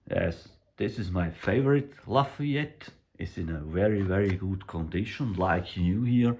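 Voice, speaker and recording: A man with a non-native English accent casually narrating a hands-on demonstration as a radio hobbyist, speaking haltingly in short, simple phrases. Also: sits low. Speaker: radio repair hobbyist presenting.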